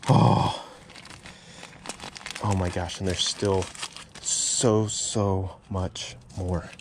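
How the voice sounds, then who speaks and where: A man's voice: sits low, little pitch movement, very slow, background noise. A man vlogging as he digs through a storage unit, amazed and repeating exclamations, filmed on a head-mounted camera. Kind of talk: excited unboxing vlog. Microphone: head-mounted action camera.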